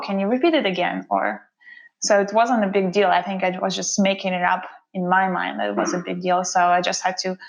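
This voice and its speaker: A woman's voice: medium pitch, low-quality recording. A woman from Russia with a Russian accent, a guest talking reflectively about her career in an interview. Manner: reflective, unhurried, with fillers and restarts. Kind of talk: conversational interview.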